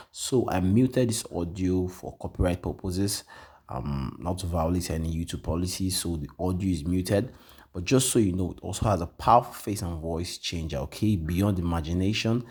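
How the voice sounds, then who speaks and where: A man walking viewers through a piece of software in a casual tutorial, repeating points and tagging sentences with "okay?" and frequent "um" fillers. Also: low voice, breathy edge. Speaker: tutorial presenter. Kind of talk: screen-share software walkthrough.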